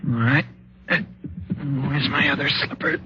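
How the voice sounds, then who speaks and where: An actor playing a practical, skeptical but jumpy young husband in a 1950s radio suspense drama, talking in halting, brisk phrases. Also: male voice, a little high, fairly even intonation, lightly acted. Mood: tense, hushed. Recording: muffled, noisy old radio recording.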